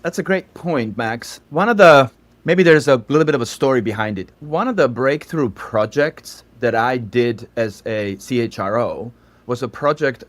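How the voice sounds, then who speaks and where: A man in his fifties from Slovakia with a Slovak accent, a podcast guest who explains at length with asides. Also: medium pitch. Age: 50s. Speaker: guest, startup founder. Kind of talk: podcast interview.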